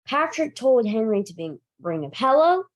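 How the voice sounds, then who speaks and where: A woman reading a children's story aloud in an animated, expressive storytime style, now and then stumbling over a word. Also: fairly high pitch, normal speed. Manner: expressive, emphatic, occasionally stumbling over words. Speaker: library director reading a picture book aloud.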